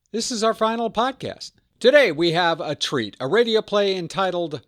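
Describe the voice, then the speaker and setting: A man's voice: a little high, no room sound, clean studio sound. A man hosting a podcast, giving an introduction and credits in an emphatic, phrase-by-phrase delivery. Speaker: podcast host.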